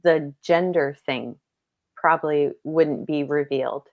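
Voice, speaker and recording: A woman's voice: sits low, a little slow, narrow, tinny audio. A woman giving matter-of-fact, opinionated views as a panelist in a casual book discussion.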